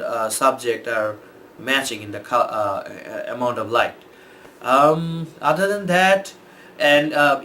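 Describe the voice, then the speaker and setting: A young man from Bangladesh talks through photo tips in an easygoing, meandering way, his voice sitting at an ordinary middle pitch. He pauses often with ums and restarts his sentences. A faint hiss lies under the recording. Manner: relaxed, rambling, many fillers and restarts. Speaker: photographer and host. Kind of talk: casual explainer talk.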